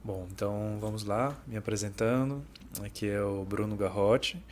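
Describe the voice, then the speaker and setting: A man from Goiânia, a dance teacher and podcast guest, calmly introducing himself over remote audio. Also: lowish voice, moderate pace, slight background noise. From Goiânia, Brazil. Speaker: contact improvisation teacher and guest. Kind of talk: casual self-introduction.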